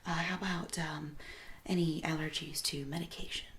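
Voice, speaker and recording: A woman speaking softly and breathily, often near a whisper, as a doctor gently asking unhurried questions in an ASMR roleplay. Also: low-pitched, fairly even intonation. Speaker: ASMR performer playing a doctor. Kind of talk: soft-spoken ASMR medical roleplay.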